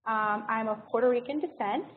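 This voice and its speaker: An American woman speaks as a guest presenter in an informal talk. Her voice is fairly high. The sound is thin and band-limited like a phone line, with faint noise underneath. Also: a little fast. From United States. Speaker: guest speaker, healthcare diversity director.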